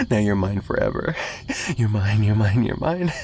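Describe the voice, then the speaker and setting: A man's voice: lowish voice, animated, a little slow, darkish tone, slight background noise. A man playing an obsessive, menacing mafia kidnapper in an ASMR roleplay, possessive and teasing, with a taunting delivery that swings between murmurs and giggles. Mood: gleeful, possessive. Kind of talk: dramatic ASMR roleplay monologue.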